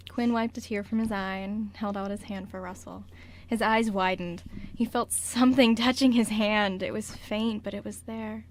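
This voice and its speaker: A woman narrates an amateur radio play from a script. Her medium-pitched voice is solemn and measured, over faint room noise. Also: steady tone.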